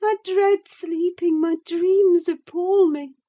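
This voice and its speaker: A woman's voice: extremely high pitch, fairly even intonation, slow, dark tone, pitched above the performer's own voice. A woman reading an audiobook, voicing a feverish, delirious young woman who swings between dreaminess and panic. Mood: pleading. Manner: dreamy then panicked, pleading.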